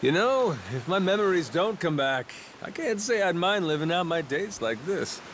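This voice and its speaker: A man's voice: high-pitched, sing-song, normal speed, a bit bright, a little hiss or hum. A man playing a playful, hearty pirate leader in a video game, with a theatrical, jaunty delivery. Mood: warm amusement. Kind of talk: video game cutscene acting.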